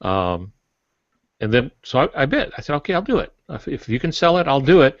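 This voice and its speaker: A man talking casually as an author on a podcast, recounting his experiences in a measured, anecdotal way. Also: medium pitch, sing-song, dry recording. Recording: dull, dry close recording.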